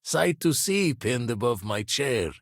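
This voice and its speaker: An actor playing an older retired space pirate turned astronomer in a video game, wry and reflective, with clipped, folksy phrasing and a husky voice. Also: male voice, medium pitch, big pitch swings, measured pace, no echo, clean studio sound. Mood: businesslike, measured. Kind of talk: video game character voice acting.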